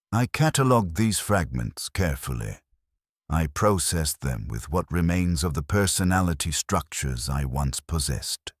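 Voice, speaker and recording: An extremely low-voiced man narrates dark science fiction in the first person. His delivery is solemn and deliberate, unhurried, yet it rises and falls noticeably from phrase to phrase. The recording is dry and close. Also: husky, dry recording. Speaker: story narrator. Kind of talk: scripted first-person sci-fi horror narration.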